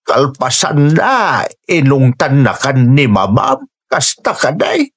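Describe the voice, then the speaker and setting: Slow, husky old grandfather. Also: medium pitch, very animated, fairly bright tone, heavily acted. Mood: concerned. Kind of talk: radio drama acting.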